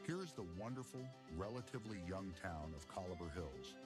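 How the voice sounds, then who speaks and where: A man's voice: low voice, lively intonation, brisk. A man playing a solemn, grandiose narrator of a small-town promotional film in scripted horror fiction. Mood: grand, welcoming. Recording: music under the narration.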